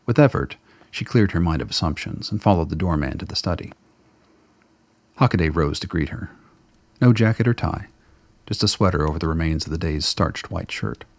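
A man's voice: deep voice, animated, normal speed, vocal fry. A man reading scripted narration for a radio drama as its narrator.

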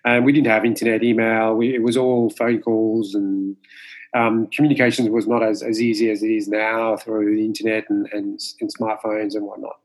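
A man in his forties from Mauritius, whose first language is Creole, giving thoughtful interview answers with many pauses and fillers. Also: fairly low pitch, little pitch movement, poor audio. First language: French Creole. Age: 40s. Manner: measured, with many fillers and restarts. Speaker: martial arts instructor, guest.

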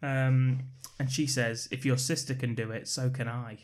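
A man's voice: mid-range voice, flat delivery, moderate pace. Laid-back man chatting about a film. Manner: laid-back, chuckling, fills in plot details. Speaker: podcast co-host. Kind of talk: casual film review chat.

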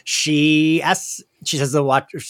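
A man's voice: fairly high pitch, level tone, slow pace, a bit bright. A man co-hosting a podcast, recapping and discussing a story in an informal, joking way. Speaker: podcast co-host.

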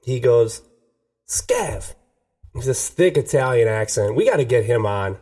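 A man's voice: medium pitch, expressive, unhurried, a little mellow. A man co-hosting a podcast, chatting casually, laughing often and swearing freely. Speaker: podcast co-host. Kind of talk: casual radio-style chat.

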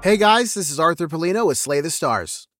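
A man's voice: fairly high pitch, lively intonation, brisk, a bit bright, faint music behind. A tired man giving a casual, effusive post-show announcement as cast member and editor.

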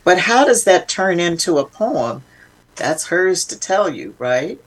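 An older woman speaking as an interview guest, reflective and conversational, thoughtful and emphatic with halting restarts. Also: on the low side, big pitch swings, slightly rough, rough recording.

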